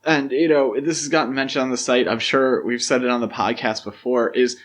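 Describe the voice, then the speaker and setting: Man, podcast co-host, average pitch, casual film talk, explains and analyses. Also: steady tone, brisk.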